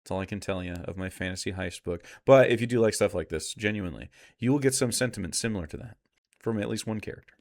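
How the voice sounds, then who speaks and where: A man's voice: on the low side, fast talker. Blunt, argumentative man doing casual commentary. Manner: blunt, swearing, sarcastic. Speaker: streamer reacting to a video.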